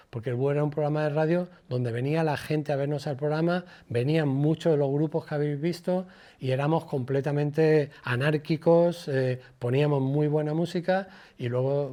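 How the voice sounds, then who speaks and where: An older man with a Spanish accent from Spain gives an informal lecture, colloquial and digressive, halting and repeating words as he goes. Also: fairly high pitch, mellow. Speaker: guest lecturer, former radio presenter. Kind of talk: informal public lecture with personal anecdotes.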